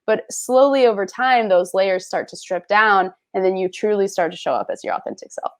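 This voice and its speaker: A woman's voice: medium pitch, expressive, moderate pace. A woman talking as the guest, giving upbeat, encouraging advice straight to viewers in a casual chat. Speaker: guest creator. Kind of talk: casual advice on a video call.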